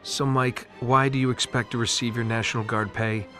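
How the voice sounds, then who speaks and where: A man's voice: mid-range voice, flat delivery, normal speed, pushed and bright, soft background music, natural voice. A man playing an unbending, officious military bureaucrat in a game cutscene, pedantic and exasperated. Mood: curt, officious. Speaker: military pay clerk. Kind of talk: video game cutscene acting.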